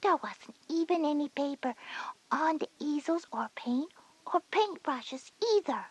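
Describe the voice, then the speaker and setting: A small, inquisitive kindergarten girl, with an oddly breathy, almost whispered voice. She is high-pitched and soft, a childlike younger sister in a scripted story cassette. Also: lively intonation, a little slow. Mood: puzzled, opinionated. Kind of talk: scripted children's educational audio drama.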